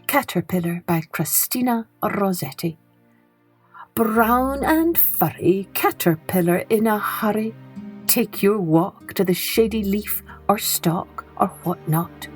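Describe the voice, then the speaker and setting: A woman reading poems and stories aloud for children in an unhurried, dramatic storytelling style, with phrases that trail softly away. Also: mid-range voice, faint music behind. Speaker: children's storytelling podcast host and reader.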